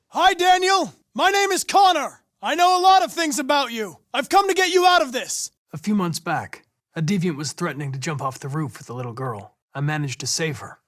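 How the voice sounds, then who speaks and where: A young american android detective in a video game, polite but determined, pretty high voice, super precise and formal. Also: male voice, a bit bright. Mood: tense, forceful. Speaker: actor voicing a video game character.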